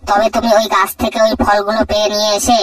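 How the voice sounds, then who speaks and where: A man's voice: high-pitched, flat delivery, fast talker, recorded from a distance, a crowd in the background, close to an everyday voice. A man voicing a bossy, scheming crocodile wife. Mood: scolding. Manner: sharp-tongued and nagging. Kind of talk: cartoon voice acting.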